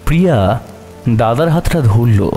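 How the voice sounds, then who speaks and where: A man's voice: mid-range voice, animated, unhurried, warm tone. A man narrating a scripted horror audio story in a dramatic storytelling style. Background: music.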